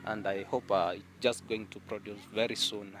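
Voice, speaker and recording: A man vlogging outdoors in a village, slowly explaining things on a casual tour and laughing often. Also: lowish voice, level tone. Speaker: vlogger giving a farm tour. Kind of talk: casual guided tour chat.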